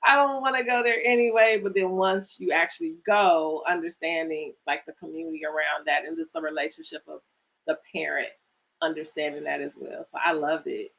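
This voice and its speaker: A woman with a somewhat low voice hosts a live video-call interview with an author. She sounds enthusiastic and casual, laughs often, and rambles a little with "like" and "um." She comes across as a middle-aged mom chatting about books. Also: fairly even intonation, brisk, gravelly, highs cut off. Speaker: host of a virtual author storytime.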